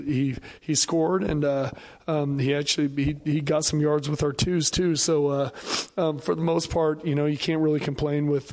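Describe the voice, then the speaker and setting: Hoarse football coach, halting and flat. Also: male voice, a little high. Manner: halting, many fillers and restarts. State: hoarse, lost voice. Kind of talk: pregame radio interview.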